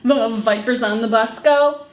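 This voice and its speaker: A woman hosting a children's story time, reading and singing picture books in an animated way and acting out the sounds. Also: a little high, a little slow, reverberant. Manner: animated, acts out animal sounds and song refrains. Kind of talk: sung picture-book read-along for children.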